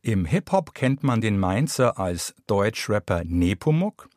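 A man's voice: lowish voice, very animated, measured pace, darkish tone, no room sound, studio quality. A man presenting a music feature in polished, scripted broadcast narration. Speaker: radio presenter.

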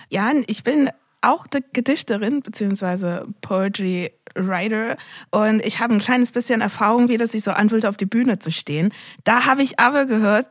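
Woman podcast host chatting with a guest, medium pitch, really dry close sound. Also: no echo. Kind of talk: conversational interview.

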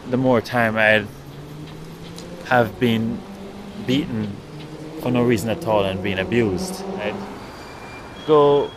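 A man with a Jamaican accent, a writer, recounting his own experiences in a measured, thoughtful way with pauses mid-sentence. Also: on the low side, background noise. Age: adult. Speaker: writer and guest. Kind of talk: reflective storytelling.

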